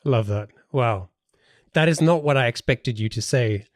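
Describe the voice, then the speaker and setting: Man, podcast host, casual interviewing, halting thinking-aloud phrasing, medium pitch, very dry recording. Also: no echo. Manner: hesitant, repeats words while thinking aloud.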